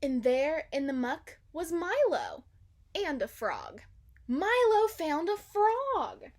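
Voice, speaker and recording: A woman's voice: high-pitched, big pitch swings, slow. A woman narrating a children's story aloud, expressively and with dramatic emphasis. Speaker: storybook reader.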